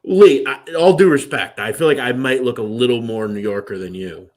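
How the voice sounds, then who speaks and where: A man's voice: mid-range voice, fairly quick, darkish tone. A man in casual crosstalk chat, teasing and goading another speaker.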